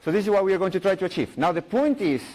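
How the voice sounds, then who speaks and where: A middle-aged man speaks into a stage mic at a conference, his voice sitting a little high for a man. He talks in English, cracks jokes and restarts sentences as he walks the room through a technical idea. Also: expressive, very fast, a little mellow. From Chile. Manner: playful, jokey, frequent fillers and restarts. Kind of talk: live technical talk with audience questions.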